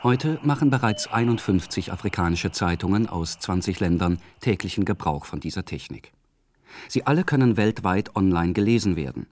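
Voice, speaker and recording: A man reading a scripted radio documentary in a calm, measured way. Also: sits low. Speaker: radio feature narrator.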